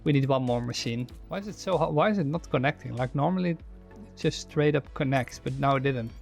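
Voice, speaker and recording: A young man from the Netherlands with a Dutch accent talks his way through a factory-building game, restarting words as he thinks aloud. His voice has a slightly gravelly, fry-tinged texture at a middling pitch. Soft game music plays faintly underneath. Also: a little fast. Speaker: streamer. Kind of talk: gameplay commentary while reading chat.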